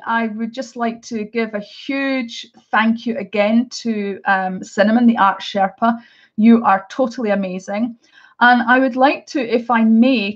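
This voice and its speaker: A woman's voice: medium pitch, dull audio. A middle-aged Scottish woman chatting casually through a live unboxing, with many hesitations and restarts. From Scotland. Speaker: art vlogger.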